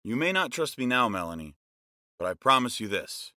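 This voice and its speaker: Man playing a lively, reassuring rogue guide. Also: medium pitch, normal speed, no echo, studio quality. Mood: earnest. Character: smooth-talking male rogue guide, loyal and reassuring. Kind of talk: fantasy audio drama acting.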